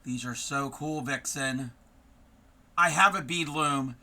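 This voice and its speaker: A hoarse man who is losing his voice, hosting a casual live stream and chatting warmly with viewers by name, with many ums. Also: medium pitch, slow. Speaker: craft live-streamer host.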